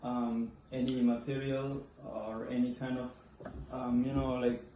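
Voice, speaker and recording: A young man from Indonesia, hosting a casual conversation in an apartment, talking haltingly and repeating words as he looks for the phrasing. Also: little pitch movement, slight background noise. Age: young adult. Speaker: host. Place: apartment room. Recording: echoey, dull low-fi room recording.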